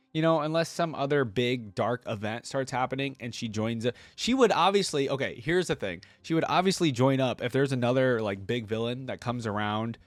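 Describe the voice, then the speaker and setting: A man's voice: medium pitch, no echo. A man giving casual reaction commentary as a video host, rambling conversationally and often checking in with the listener.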